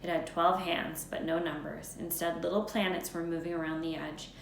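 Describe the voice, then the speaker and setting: An echoey room surrounds a woman with a slightly low voice. She narrates a storybook expressively, as a read-aloud storyteller, with faint noise in the recording. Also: little pitch movement, moderate pace, vocal fry. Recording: echoey room with background hiss.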